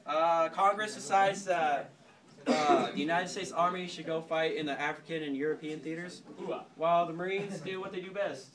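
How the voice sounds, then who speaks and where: Cocky young man, higher-pitched, distant mic. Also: fairly even intonation. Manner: cocky, flippant, halting. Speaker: storyteller host playing a cadet gunnery sergeant. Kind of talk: informal history storytelling to a small group. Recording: distant mic, clear background chatter. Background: several people chattering and interjecting.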